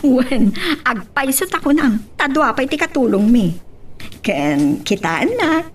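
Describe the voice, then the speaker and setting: A woman's voice: high voice, expressive, slow. A woman playing a boastful, showy rich wife in an Ilocano audio drama, with an Ilocano accent. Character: boastful rich wife flaunting her wealth. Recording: distant mic, faint noise.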